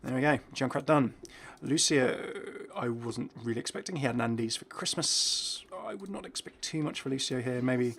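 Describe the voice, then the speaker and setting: Male gaming host, medium pitch, chatty off-the-cuff commentary, thinks out loud as he goes. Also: moderate pace, vocal fry, few pauses, husky. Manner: chatty, musing aloud, breaking off mid-thought. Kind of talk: casual unscripted commentary on game content.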